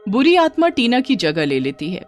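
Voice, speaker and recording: A woman's voice: a little high, big pitch swings, normal speed, soft background music. A woman narrating a scripted children's horror story.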